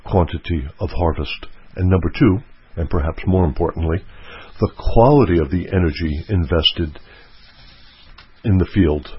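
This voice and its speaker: A man giving an earnest motivational teaching talk, repeating his key points slowly. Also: low voice, husky, dry recording, lo-fi sound. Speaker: self-help speaker.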